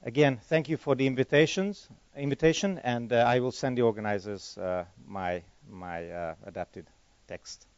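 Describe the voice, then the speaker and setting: A man's voice: medium pitch, moderate pace, dry recording. Guy fielding questions at a conference, rambly, keeps fixing his words, dry dull lo-fi sound. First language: Hungarian. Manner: chatty, rambling, self-correcting. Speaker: conference speaker. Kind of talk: conference Q&A answers.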